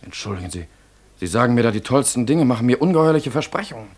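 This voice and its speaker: An actor playing a fervent, proud young romantic dreamer in a German radio play, declamatory and lyrical. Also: male voice, mid-range voice, lively intonation, fast talker, darkish tone, breathy edge. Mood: agitated, indignant.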